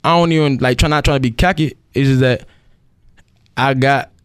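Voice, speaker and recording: A man's voice: medium pitch, steady tone, normal speed, smooth, no echo, clean studio sound. A young man from Cuba, a rapper guesting on a radio interview, talking loosely in slang and often saying "you feel me.". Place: radio studio.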